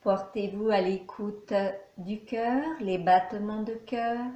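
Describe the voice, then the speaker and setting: Woman doing guided yoga nidra, super slow w pauses, slightly high voice, echoey room. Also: fairly even intonation, mellow, highs cut off. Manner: measured, instructing in short phrases with pauses. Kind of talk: guided meditation, calm instruction.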